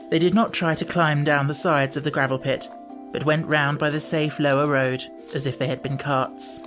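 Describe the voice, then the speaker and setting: Low-pitched woman narrating a storybook. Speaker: audiobook narrator.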